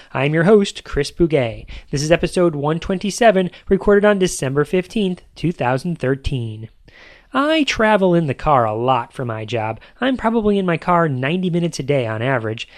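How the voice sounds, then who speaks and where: A man's voice: a little high, no echo, clean studio sound. An American man narrating a scripted podcast as host, with an emphatic, upbeat presenter delivery.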